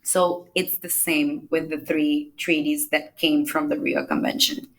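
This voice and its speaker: Woman guest with a lower voice, unhurried and explanatory. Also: flat delivery, low-quality recording. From Dominican Republic. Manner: measured, explains step by step with small restarts. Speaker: climate negotiator, podcast guest. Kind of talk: explanatory interview answers.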